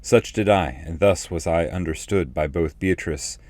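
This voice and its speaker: A man narrating epic poetry as an audiobook reader, in a measured and solemn manner. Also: low voice, a little mellow, dry recording, clean studio sound.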